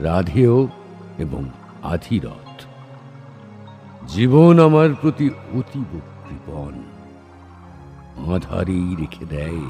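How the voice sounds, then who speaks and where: Man voicing a deep, slow, weary warrior prince. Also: mellow, exaggerated voice. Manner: solemn, declamatory, long dramatic pauses. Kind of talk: dramatic Bengali poetry recitation with dialogue. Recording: studio voice over background music. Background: background music.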